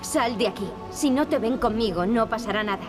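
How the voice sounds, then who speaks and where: An actress voices a guarded young princess in a video game, speaking with a Castilian Spanish accent. Her voice is fairly high, curt and resolute, with clear music behind it. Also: a little fast, natural voice. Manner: curt, serious.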